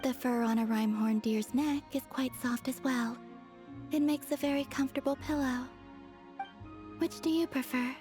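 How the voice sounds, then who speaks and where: A woman voicing a serene, gentle young moon goddess in a video game, speaking softly with composed, formal phrasing. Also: high voice, fairly even intonation, normal speed, music in the background, close to an everyday voice. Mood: tender, fond. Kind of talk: video game character dialogue.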